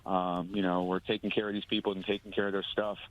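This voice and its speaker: A man, a moving company owner, speaking on a phone interview, halting with many ums and restarts. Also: low voice, level tone. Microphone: phone line. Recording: phone line audio.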